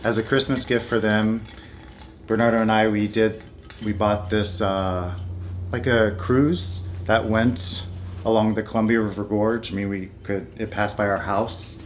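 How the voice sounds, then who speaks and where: A man's voice: fairly low pitch, fairly even intonation, unhurried, a little hiss or hum. A North American man vlogging in a casual, candid and conversational way about his own life. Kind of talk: casual personal vlog talk.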